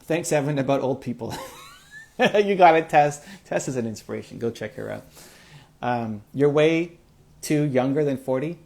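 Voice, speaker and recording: A man's voice: medium pitch, normal speed, creaky. Upbeat man in his forties coaching viewers. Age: 40s. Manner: energetic, encouraging, conversational with fillers. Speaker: motivational livestream host. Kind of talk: live Q&A answering viewer comments.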